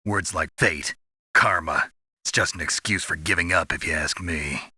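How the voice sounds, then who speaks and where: A tough cynical soldier from a video game, real low raspy voice, dry close mic, says things short. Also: male voice, normal speed, bright, forceful tone, no echo, full character voice. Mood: cynical, firm. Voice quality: gravelly, husky. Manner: terse, dry, world-weary. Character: tough, cynical male special-operations soldier. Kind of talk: dramatic video game dialogue.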